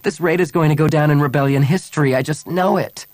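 A man's voice: mid-range voice, expressive, moderate pace, no room sound, pitched above the performer's own voice, close to an everyday voice. A man voicing an earnest young rebel woman commander in an audiobook, speaking in an emotional, pleading way. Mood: fervent. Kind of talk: audiobook character acting.

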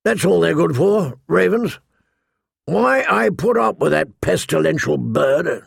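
A man voicing a gruff, grumbling old male military commander who sounds weary and disdainful. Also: a little high, lively intonation, measured pace, no echo, studio quality, exaggerated voice.

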